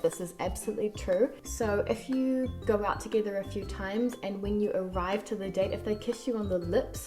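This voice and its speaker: A woman with a New Zealand accent softened by years abroad, answering viewer questions in a casual chat, speaking softly and articulating with care. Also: mid-range voice. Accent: New Zealand, softened by years in France. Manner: soft-spoken, slow and carefully articulated.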